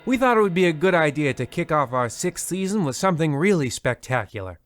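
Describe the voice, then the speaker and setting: A man playing a pompous, bumbling horror radio show host, narrating in a deliberate, dramatic, mock-ominous way. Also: fairly high pitch, big pitch swings, fairly bright tone, dry recording, soft background music.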